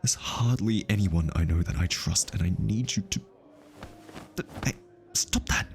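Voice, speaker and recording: Man playing a low-voiced, easily flustered hitman. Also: a little slow, gravelly, a little hiss or hum. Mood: urgent, then flustered, breathy. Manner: flustered, stammering, sighing. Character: easily flustered male hitman, lovestruck, defensive and nervous. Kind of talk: intimate ASMR roleplay acting.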